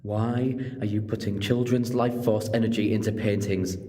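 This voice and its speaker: An actor playing a clever, cheeky alien time-traveller hero in a sci-fi audio drama, with a wry, commanding and self-assured manner. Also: male voice, on the low side, steady tone, moderate pace, dark tone, lightly acted. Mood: defiant, demanding. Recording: long cavernous hall reverb.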